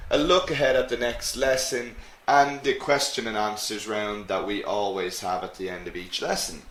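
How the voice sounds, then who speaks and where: A man teaching an English grammar lesson to students in a slow, clear way. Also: medium pitch, fairly bright tone, echoey room, low-quality recording.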